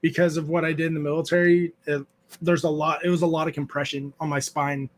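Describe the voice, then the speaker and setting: A man's voice: fairly high pitch, flat delivery, fast. A man in his thirties hosting a casual chat, joking and laughing often. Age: 30s. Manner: joking, laughs often, does quoted voices. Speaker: host.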